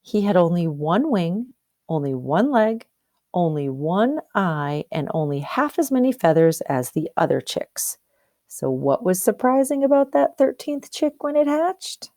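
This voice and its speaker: Animated woman teacher reading a story aloud. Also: fairly low pitch, unhurried, no echo, clean studio sound. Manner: explanatory, questioning, checks understanding. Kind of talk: expressive storybook read-aloud with teaching questions.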